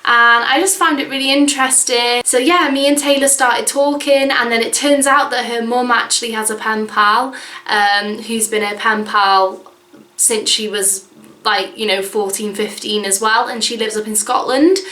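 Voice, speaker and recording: A woman's voice: a little high, steady tone, normal speed, pushed and bright, reverberant. A woman, chatting casually in a vlog with lots of fillers and "like"s. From Wales. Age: 20s. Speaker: vlogger. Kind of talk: casual chat.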